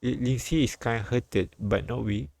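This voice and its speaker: A man streaming a live read-aloud of a visual novel, halting and repeating words as he goes. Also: mid-range voice, creaky, darkish tone, dry recording.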